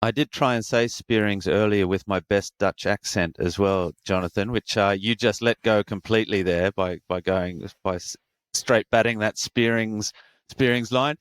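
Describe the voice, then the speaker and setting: A man's voice: lowish voice, moderate pace, clean tone, dry recording. A man hosting a football podcast panel, with a wry, teasing manner. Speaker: podcast host.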